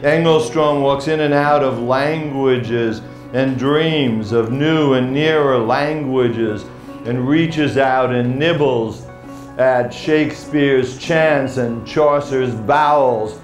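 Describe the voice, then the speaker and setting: A man playing a rambling, melancholy middle-aged poet in a theatrical monologue, halting and musing, repeating phrases to himself. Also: medium pitch, soft background music, close to an everyday voice. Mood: incantatory. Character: rambling, melancholy washed-up male poet, wistful and self-doubting. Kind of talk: theatrical monologue with recited verse.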